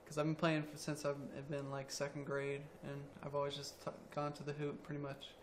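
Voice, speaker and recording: A young man around twenty, a college student athlete, answering interview questions quietly with many ums. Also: fairly high pitch, monotone, a little fast, a crowd in the background. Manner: quiet, soft-spoken, hesitant with ums.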